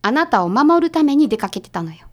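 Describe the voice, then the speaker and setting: A woman playing a brisk, sharp detective's assistant in a radio drama, speaking casually and decisively with a Kansai accent. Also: high voice, sing-song. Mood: calm, explaining.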